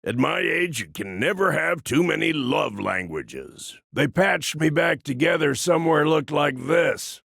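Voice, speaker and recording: A man playing a grizzled, folksy old cyborg soldier in a video game, gravelly and husky, with slangy, wry, cocky wisecracks. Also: mid-range voice, fairly bright tone, no echo, studio quality, full character voice. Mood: musing, wry. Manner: folksy slangy drawling wisecracks, calls others kiddo. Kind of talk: video game character barks.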